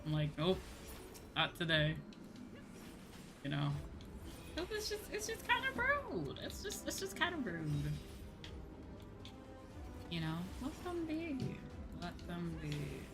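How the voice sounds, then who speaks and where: A young American woman chats casually on a livestream in a fairly low-pitched voice. She is animated and sweary, slipping into exasperated quoted exchanges as she talks. Music plays clearly behind her. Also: takes it slowly, pauses often. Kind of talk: casual chat while gaming on stream. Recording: background music under the voice.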